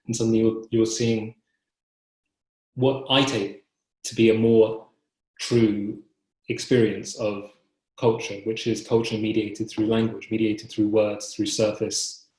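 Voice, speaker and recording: Hesitant, low-voiced young man, roomy sound. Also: little pitch movement, darkish tone. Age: young adult. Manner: hesitant, halting, self-correcting. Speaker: poet being interviewed. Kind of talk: reflective interview talk. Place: book-lined room at home, indoors. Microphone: computer mic on video call. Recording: roomy, echoing video call.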